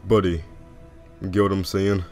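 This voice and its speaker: A laid-back skeleton joker, played by a man, speaks low and slow in a comic dub. He is wry and folksy, with a quiet threat under the laziness. Soft music plays behind him. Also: flat delivery. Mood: calm, resolute. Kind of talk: animated comic dub acting.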